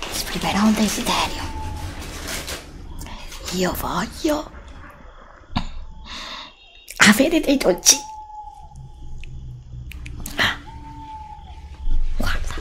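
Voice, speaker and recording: A woman voicing a frightened, weary, distrustful heroine lost in a forest in an ASMR roleplay, breathy, plaintive and hesitant, close to the mic. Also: medium pitch, pushed and bright, a little hiss or hum, voice pushed down, heavily acted. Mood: amazed, hungry. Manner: whispered, breathy, plaintive, hesitant with repeated phrases. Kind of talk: ASMR roleplay, whispered acting. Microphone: close desktop USB microphone.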